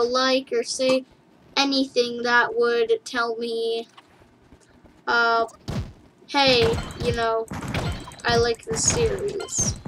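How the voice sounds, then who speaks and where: A woman casually narrating her play of a game for viewers, rambling and chatty, with giggles and excited yelps. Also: fairly high pitch, low-quality recording. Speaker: gaming YouTuber. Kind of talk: casual let's play commentary. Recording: game music under the voice.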